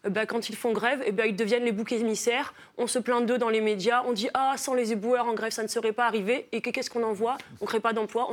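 A woman from Marseille, a philosopher and author, explaining her ideas as a talk show guest with many hesitations. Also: fairly high pitch. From Marseille, France. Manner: thoughtful, many hesitations with euh. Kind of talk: studio interview.

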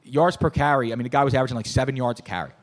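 A hoarse man hosting a casual sports talk show, excitable and rambling with lots of restarts. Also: medium pitch, rapid-fire. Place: bar venue.

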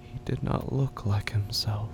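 Deep, very gravelly hushed male narrator. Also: fairly even intonation. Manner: hushed, halting, often drops to whispers. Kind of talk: scripted horror audio drama narration. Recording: clear background noise and ambience.

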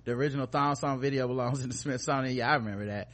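A man's voice: mid-range voice, rapid-fire, a bit bright. Casual, joking man hosting a podcast. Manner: slangy, profane, joking. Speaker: podcast host. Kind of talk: casual comedic commentary.

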